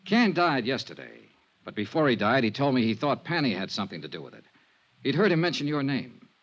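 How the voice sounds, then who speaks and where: 1950s radio investigator: American, clipped, understated, persistent, wide intonation, muffled old broadcast sound. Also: male voice, mid-range voice, big pitch swings, fairly quick, a bit bright. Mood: dry, probing. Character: dry, persistent male insurance investigator narrating his case. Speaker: investigator and narrator. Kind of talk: 1950s radio detective drama, scripted narration. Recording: dull vintage radio recording, music.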